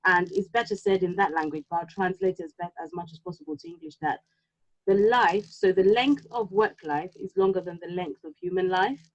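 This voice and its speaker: A woman whose home tongue is Yoruba, chatting casually and reflectively, halting now and then as she thinks aloud. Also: on the low side. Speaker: podcast co-host. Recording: slightly dull, lo-fi sound.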